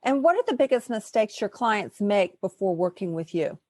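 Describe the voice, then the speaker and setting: A woman's voice: medium pitch, animated, normal speed, a little mellow, no echo. Woman host with a dry, close sound. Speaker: podcast host. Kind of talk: reading a guest introduction, then asking questions.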